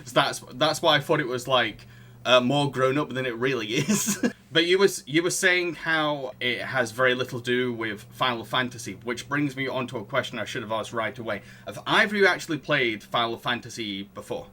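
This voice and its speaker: A man's voice: mid-range voice, a little fast, sharp tone. A man hosting a podcast, talking casually about films in a chatty, hesitant way, circling round his explanations. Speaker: podcast host. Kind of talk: casual film discussion.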